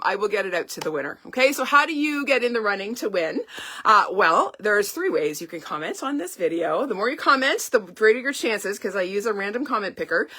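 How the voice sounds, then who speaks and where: A middle-aged woman from Canada hosting a casual live stream, chatty and upbeat, her voice a little hoarse and stuffy from illness. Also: fairly high pitch, bright, forceful tone. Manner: chatty, upbeat, frequent laughs and ums. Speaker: independent stamping demonstrator hosting a live launch.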